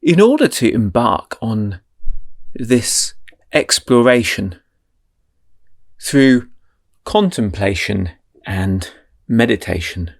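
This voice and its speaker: Slow, soothing male meditation guide. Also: on the low side, sing-song, gravelly. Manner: slow, soothing, drawn-out phrasing.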